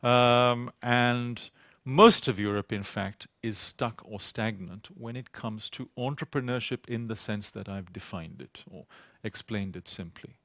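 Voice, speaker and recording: A man with a British accent giving a conference panel talk, hesitant and halting, often repeating words and pausing on "uh". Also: fairly low pitch, a bit bright, no room sound, studio quality. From Sri Lanka. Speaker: panel speaker. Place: conference venue.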